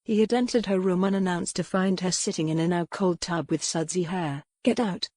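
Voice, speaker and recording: A woman's voice: on the low side, steady tone, brisk, a little mellow, dry recording, clean studio sound. A woman reading scripted narration of a historical romance novel as an audiobook narrator.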